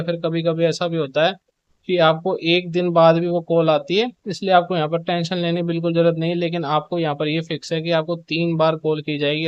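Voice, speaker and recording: A man's voice: on the high side, clear, resonant tone, dry recording, muffled recording. A man presenting updates in an informal, instructional explainer style, with repetitive phrasing. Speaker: video presenter giving show updates.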